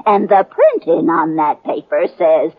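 An American actress playing a feisty, indignant older frontier woman in a 1950s radio western, with sharp, folksy, scolding delivery. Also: fairly low pitch, huge pitch swings, unhurried, full character voice. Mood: insistent, triumphant. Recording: muffled 1950s radio recording.

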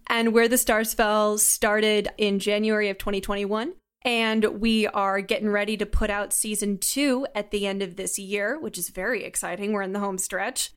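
A woman's voice: medium pitch, fairly even intonation, normal speed, few pauses, clear, resonant tone. A young adult woman chatting casually and enthusiastically as an interview guest. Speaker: interview guest, audio drama creator. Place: remote Zoom call.

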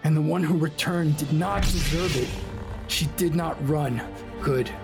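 A man acting a proud young male emperor in an audio drama, bitter with grief, curt and haughty in a husky voice. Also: on the high side, a little slow. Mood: anguished. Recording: music under the voice.

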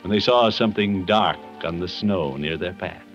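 An American actor playing a kindly, jovial middle-aged uncle in a 1950s radio comedy, with an avuncular, theatrical storyteller's delivery. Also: male voice, deep voice, moderate pace, faint music behind. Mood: gentle storytelling. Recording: muffled old 1950s radio broadcast.

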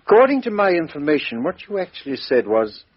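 An actor playing a calm, methodical male police chief inspector who questions in a measured, coolly ironic way, in a 1950s radio drama. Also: fairly high pitch, husky. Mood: probing. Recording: dull lo-fi old radio recording.

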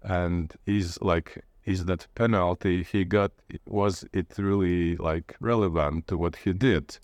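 A man with a Latvian accent, a native Latvian speaker, talking reflectively in an interview and haltingly searching for words. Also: low-pitched, steady tone, darkish tone, dry recording, studio quality. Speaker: interviewee, literature teacher and playwright.

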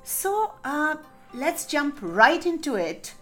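A woman's voice: high-pitched, very animated, slow, pushed and bright. Woman podcast host, music underneath. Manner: friendly, segment-introducing. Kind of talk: hosting a podcast segment.

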